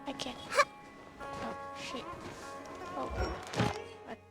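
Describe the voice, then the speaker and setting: A young woman gamer reacting casually to gameplay in short, fragmented exclamations and mutters. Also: extremely slow. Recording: loud game music behind.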